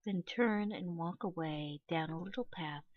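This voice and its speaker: A woman reading a scripted guided meditation in a measured, instructional way, pausing between phrases. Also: on the low side, no room sound, lo-fi sound.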